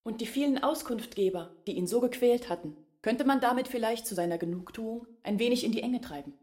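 A woman's voice: fairly high pitch, brisk, a little mellow, lightly acted. An actress playing a matter-of-fact village woman who adds dry explanations in a literary radio drama.

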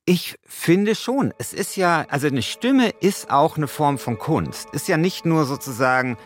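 A man's voice: on the high side, expressive, a little slow, soft background music. A man co-hosting a podcast, talking in a colloquial, argumentative way. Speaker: podcast co-host and radio play author. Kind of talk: casual debate and informal narration.

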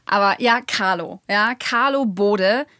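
An actress playing a gushing, playful young podcast host in a chatty studio interview within an audio drama. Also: female voice, medium pitch, slow, sharp tone, clear, resonant tone, no echo. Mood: coaxing.

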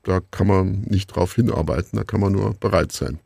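A middle-aged man giving reflective answers as an interview guest, musing and haltingly searching for words. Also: sits low, steady tone, vocal fry, a little mellow, breathy edge, no echo, studio quality. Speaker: interview guest, radio play maker.

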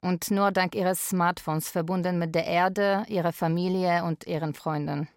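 An actress playing a lonely, wry Polish live-in carer, narrating a radio drama in a deliberate way. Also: female voice, fairly low pitch, fairly even intonation, smooth, dry recording, clean studio sound, natural voice. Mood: lonely, reflective.

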